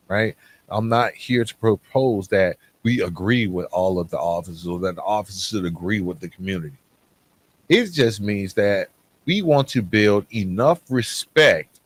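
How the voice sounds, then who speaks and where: A man hosting a podcast monologue, speaking to listeners in an earnest, persuasive way with rhetorical questions. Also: lowish voice. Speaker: podcast host.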